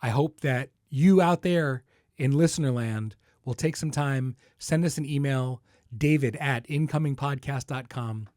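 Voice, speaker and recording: A man's voice: medium pitch, unhurried, darkish tone, no room sound, studio quality. A middle-aged man hosting a podcast, speaking casually and wryly straight to listeners. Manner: conversational, wry, informal. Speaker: podcast host and creator. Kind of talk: casual podcast address, answering listener questions.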